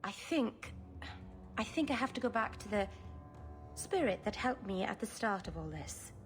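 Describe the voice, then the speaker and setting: An actress playing a stubborn, anxious young elf woman in a video game, speaking earnestly and haltingly. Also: mid-range voice, expressive, soft background music, natural voice. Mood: worried, frustrated. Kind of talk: dramatic video game acting.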